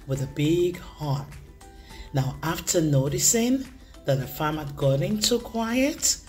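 A woman storytime reader addresses young listeners with theatrical emphasis. Her pitch swings widely and her pacing is very slow and deliberate, over background music. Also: darkish tone. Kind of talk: expressive storybook read-aloud. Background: music behind the voice.

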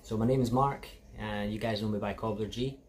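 A man's voice: on the low side, fairly quick, a little hiss or hum. A Scottish man in his thirties, a cobbler chatting casually to viewers from his small shoe repair shop. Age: 30s. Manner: relaxed, chatty, addresses viewers as guys. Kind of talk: casual workshop vlog.

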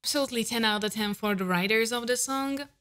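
A woman's voice: fairly high pitch, quick pace, faint music behind. Gushing woman vocal coach, close studio mic. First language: not English. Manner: gushing, excitable, frequent "uh" fillers. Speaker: vocal coach reacting to a song. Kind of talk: casual reaction commentary. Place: home room with shelves, indoors. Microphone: large studio condenser mic close up.

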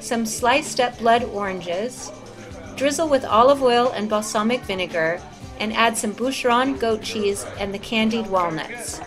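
A woman's voice: a little high, unhurried. A woman hosting a cooking show, narrating recipe steps as a scripted voice-over. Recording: music under the voice. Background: background music.

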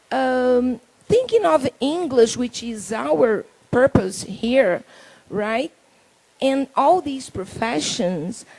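A middle-aged Brazilian woman, hosting a talk show in English with a handheld mic, halting and reading from notes. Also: a little high, creaky, darkish tone, rough recording. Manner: halting, reads from notes, laughs often. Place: indoor talk show stage.

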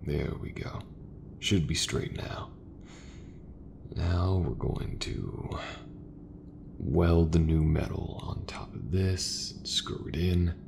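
Man playing a very deep, gravelly mech mechanic. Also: full character voice. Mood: easygoing, reassuring. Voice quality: gravelly, breathy, half-whispered. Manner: slow, unhurried, reassuring, colloquial. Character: easygoing, folksy male sci-fi mech mechanic. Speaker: voice actor. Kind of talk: ASMR roleplay, soft-spoken.

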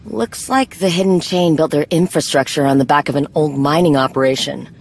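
A woman acting a brisk, proud, no-nonsense warrior in a video game, with a blunt, clipped, dry manner. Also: sits low, vocal fry, slight background noise. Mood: dry approval.

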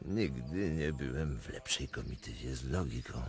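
An actor playing a volatile, mocking Russian prince in a radio drama, theatrical, grandiose and fatalistic. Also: male voice, a bass voice, animated, measured pace, exaggerated voice. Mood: mocking, brooding. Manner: theatrical, swinging from boasts to grave confessions.

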